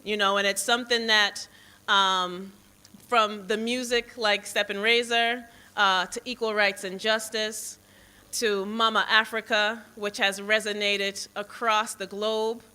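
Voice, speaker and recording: Bright, clear American woman speaking slowly. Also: medium pitch, fairly even intonation. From Boston, United States, born in Jamaica. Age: 40s. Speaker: foundation president, guest speaker. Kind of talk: public speech, partly off the cuff.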